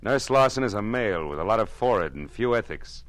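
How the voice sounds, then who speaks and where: An actor playing a wry, tough private detective in 1940s radio style, wisecracking and world-weary. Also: male voice, on the low side, expressive, fast talker, a bit bright, dry recording. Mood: hard, accusing. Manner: hard-boiled, wisecracking.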